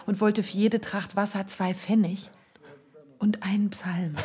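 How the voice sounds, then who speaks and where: An actress playing a resolute, teasing young widow in colloquial radio play dialogue. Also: female voice, medium pitch, steady tone, slow pace, darkish tone, natural voice. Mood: gossipy, amused.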